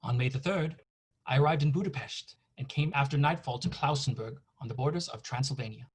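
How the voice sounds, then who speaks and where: A man's voice: medium pitch, fairly quick, husky, lightly acted. An actor playing an earnest, anxious young lawyer's clerk narrating his journal in a radio horror play. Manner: earnest, dramatic, apprehensive.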